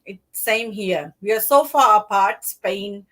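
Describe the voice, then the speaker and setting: A woman's voice: medium pitch, slow pace, a crowd in the background. A woman from Fiji, a guest talking in a casual live interview over a video call, friendly and rambling, laughing often. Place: home in Sydney, afternoon.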